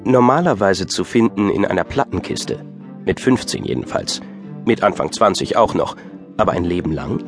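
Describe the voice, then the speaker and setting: A man with a fairly low voice narrates a scripted audio drama. He tells the story in a dryly wry manner, and music plays clearly behind him. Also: lively intonation, normal speed.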